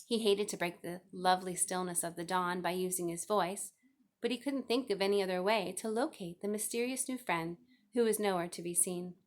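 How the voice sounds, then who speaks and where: A woman's voice: lowish voice, a little fast. A woman reading a children's novel aloud as scripted narration. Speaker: storybook reader.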